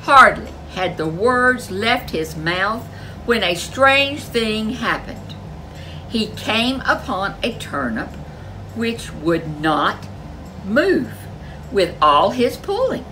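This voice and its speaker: A woman's voice: mid-range voice, sing-song, takes it slowly, noisy background. A woman storyteller reading a folk tale aloud in a dramatic, emphatic way. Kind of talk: animated folk tale narration for children.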